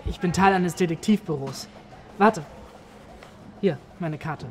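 A man's voice: on the high side, measured pace, soft background music, close to an everyday voice. A young man playing an earnest, awkward amateur detective in film dialogue, speaking politely and hesitantly. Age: young adult.

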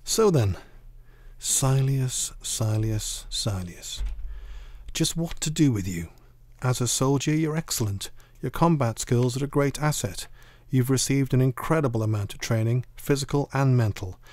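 An actor playing a stern, sceptical military commander in a science-fiction audio drama, speaking formally and with authority. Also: male voice, mid-range voice, unhurried, a little mellow, dry recording, natural voice. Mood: stern, distrustful.

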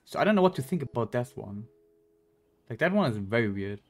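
A man's voice: mid-range voice, big pitch swings, fairly quick. A young man streaming a game indoors, giving casual, offhand, blunt live reactions. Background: faint game music.

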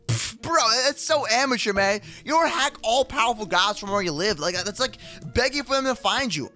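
A man playing an excitable, sassy kid hero in an animated gaming roleplay, peppering his talk with slang. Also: very high voice, normal speed, faint music behind, full character voice. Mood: mocking. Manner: slangy, says bro and dude constantly. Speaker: gaming video creator playing the lead character. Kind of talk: animated Minecraft kids roleplay acting.

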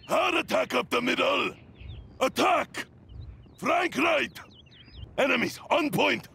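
An actor playing a boisterous, bloodthirsty oni demon warrior for a video game, with a raspy, theatrical, menacing delivery. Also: male voice, very high voice, pushed and bright, full character voice. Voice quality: raspy, gravelly. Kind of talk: video game character voice lines.

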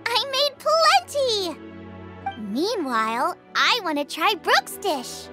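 A cheerful young girl chef from a video game, with a very high, highly animated voice. She is bubbly, eager and generous, full of passion as she speaks. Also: slow, over loud music, full character voice. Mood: warm, generous. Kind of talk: video game character acting.

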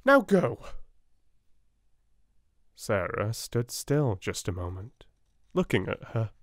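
Gentle British male narrator, slow and measured, dry close sound. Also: lowish voice, lively intonation, no room sound. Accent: British English. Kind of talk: soft-spoken audiobook reading.

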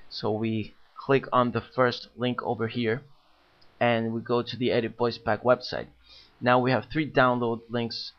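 Male tutorial narrator, steady mid-range voice, casual filler-laden walkthrough, dull home-mic sound. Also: mid-range voice. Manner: plain explanatory, frequent uh fillers. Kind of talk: screen-recorded software walkthrough.

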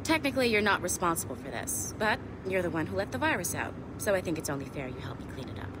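A sarcastic security contractor. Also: female voice, on the low side, very animated, fast talker, background noise. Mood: brisk. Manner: wry, blunt, bossy. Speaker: game character.

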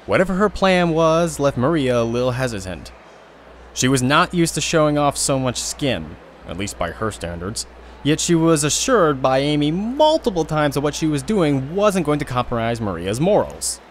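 A man's voice: on the high side, very animated, normal speed, a little hiss or hum. Casual male audio drama narrator.

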